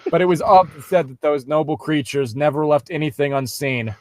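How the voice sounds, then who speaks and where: A man's voice: a little high, a little fast. A man co-hosting a comic read-aloud, mocking the story with sweary casual asides. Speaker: co-host reading stories aloud.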